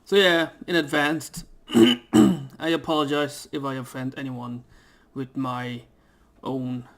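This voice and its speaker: A young man talks casually over a game at home into a desk mic, and he rambles and hesitates with plenty of fillers. His throat is irritated, so he keeps clearing it. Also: medium pitch, fairly bright tone. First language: Dutch. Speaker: gaming YouTuber. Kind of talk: casual let's play commentary. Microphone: desk microphone.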